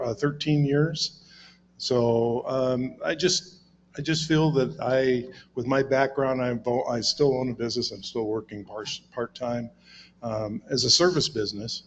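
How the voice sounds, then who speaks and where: A man's voice: medium pitch, highs cut off, slight background noise. A man introducing himself as a board candidate, hesitant and self-deprecating, with restarts.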